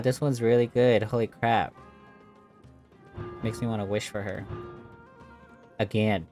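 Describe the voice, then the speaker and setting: A man's voice: lowish voice, a little mellow. A man giving casual, reactive gameplay commentary with informal muttered asides. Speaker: gamer commenting on playthrough.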